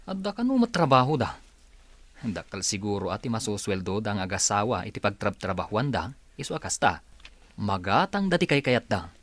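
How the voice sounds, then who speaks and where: Easygoing village husband in an Ilocano radio play, fairly low voice, very melodic delivery. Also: male voice, sing-song, brisk, a bit bright, no room sound. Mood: curious. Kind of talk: radio drama acting.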